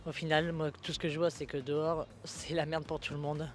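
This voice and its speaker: A man's voice: a little high, little pitch movement, brisk, slight background noise. A homeless man from northern France giving frank, weary answers in a street interview. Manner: frank, weary, plain-spoken. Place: outdoors on a city street.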